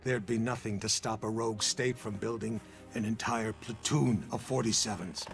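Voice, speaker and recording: Low voiced american intel boss, grave n shrewd, slow ominous delivery, loud music behind, video game cutscene. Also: male voice, fairly even intonation, gravelly. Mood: grave, insistent. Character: shrewd, grave older American intelligence chief, coolly persuasive. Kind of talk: dramatic game cutscene dialogue.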